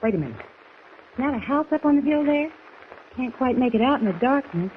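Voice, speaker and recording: Young woman reporter, determined, urgent, expressive melody, 1940s radio drama, thin noisy recording. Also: sits high, a little fast, phone-quality sound. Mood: curious, tense. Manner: urgent, earnest.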